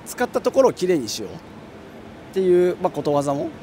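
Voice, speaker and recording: Young man, fast and animated, earnest explainer. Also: high-pitched. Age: young adult. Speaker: interviewee. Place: city street outdoors. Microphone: handheld mic held by interviewer. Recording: street noise outdoors.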